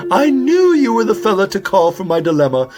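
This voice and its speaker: A man acts a proud, genteel mother owl in a children's audio story. The voice is high and theatrical, swooping with fretful emphasis over music. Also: sing-song, fairly quick. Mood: grateful. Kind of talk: children's audio drama acting.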